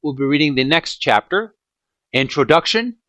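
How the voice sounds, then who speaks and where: Guy reading a book out loud, really up and down pitch, echoey room, faint music behind. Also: animated, normal speed. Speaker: host reading a book aloud. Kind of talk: read-aloud book narration.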